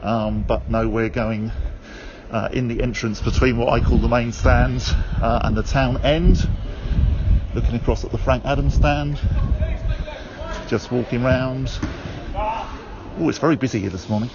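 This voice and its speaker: A man's voice: mid-range voice, measured pace, long pauses, muffled recording, very noisy. Chatty male radio presenter. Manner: chatty, self-deprecating, lots of fillers. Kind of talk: casual on-the-go commentary and studio chat. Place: in a car, then walking outdoors at a stadium.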